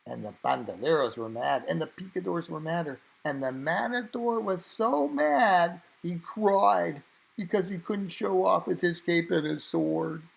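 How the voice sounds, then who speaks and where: A man reading a children's story aloud, expressively, with dramatic emphasis and drawn-out phrases. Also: fairly high pitch, creaky, slightly rough, poor audio.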